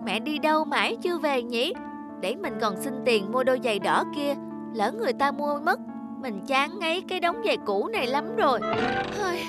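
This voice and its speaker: Cậu bé hoạt hình, giọng cao. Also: female voice, sits high, normal speed, loud music behind the voice. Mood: whiny. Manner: childlike, expressive. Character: fickle, whiny young schoolboy. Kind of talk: Vietnamese children's cartoon dubbing.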